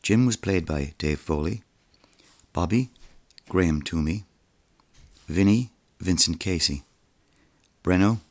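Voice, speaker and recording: A man's voice: low voice, slow pace, long pauses, darkish tone, no echo. A man reading scripted credits as an announcer.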